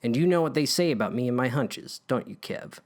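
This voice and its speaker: A man's voice: medium pitch, lively intonation, brisk, pitched below the performer's own voice, natural voice. A man voicing a smug, menacing older brother in a comic story, theatrical and conspiratorial. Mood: brooding, conspiratorial. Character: menacing, smug bully older brother telling dark hunches.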